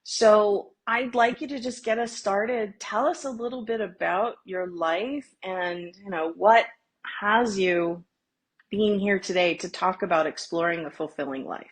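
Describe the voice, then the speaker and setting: A woman's voice: mid-range voice, a little slow, slightly rough. A woman hosting a podcast, chatty and encouraging as she draws out her guest. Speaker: podcast host. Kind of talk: casual podcast interview.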